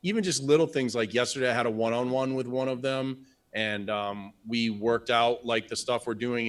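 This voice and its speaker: A man's voice: mid-range voice, a bit bright. Enthusiastic man chatting on a live stream. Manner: enthusiastic, rambling with restarts. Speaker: business coach, co-host. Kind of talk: casual live stream chat.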